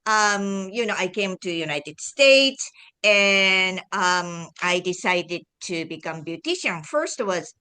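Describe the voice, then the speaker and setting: A woman from Japan speaking English with a Japanese accent, a guest telling her story in a halting way with many restarts. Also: mid-range voice, pushed and bright. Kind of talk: casual interview talk.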